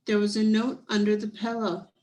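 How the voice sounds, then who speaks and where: A woman reading a children's story aloud in an expressive, deliberate and solemn manner. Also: mid-range voice, poor audio. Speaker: storybook reader.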